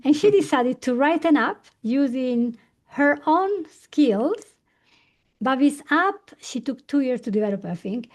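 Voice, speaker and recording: A woman from Italy, hosting a podcast interview and asking curious, lively follow-up questions. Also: sits high, a little slow. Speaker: podcast host. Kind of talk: conversational interview.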